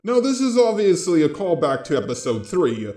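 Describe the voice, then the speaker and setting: A man's voice: fairly high pitch, normal speed, soft background music. Echoey synthetic robot man reviewing cartoons. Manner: deadpan, sarcastic, swearing. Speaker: video reviewer. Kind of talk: scripted review narration.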